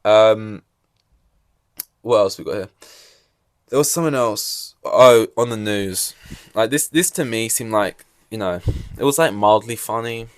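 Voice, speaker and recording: A man's voice: lowish voice, measured pace, long pauses, dry recording. A young man hosting a casual solo podcast, rambling with wry asides and a slightly scratchy throat. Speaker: podcast host.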